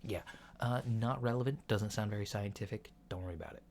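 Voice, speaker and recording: A man playing a casual, reassuring alien doctor in a soft ASMR roleplay, speaking in a mild, hesitant, matter-of-fact way. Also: fairly low pitch, a little fast, close to an everyday voice. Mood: calm, reassuring. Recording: lo-fi with clear background noise.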